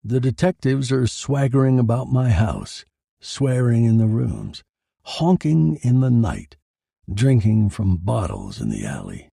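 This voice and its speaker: A man playing a murdered man who narrates his own noir horror tale, bitter, detached and deliberate. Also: medium pitch, sing-song, vocal fry, mellow, breathy edge, no room sound, clean studio sound, heavily acted. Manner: brooding, deliberate, dramatic. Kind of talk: scripted first-person noir horror narration.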